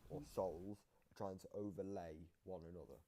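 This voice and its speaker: A man's voice: sits low, fairly even intonation, moderate pace, noisy background. A man giving informal explanatory commentary, repeating and rephrasing points for emphasis. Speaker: video commentator explaining game voice lines.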